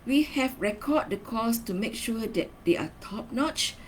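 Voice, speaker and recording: A woman's voice: fairly high pitch, animated, measured pace, slight background noise. A woman giving a semi-scripted training lesson as a marketing trainer, instructional, stressing key words and checking in with short tag questions.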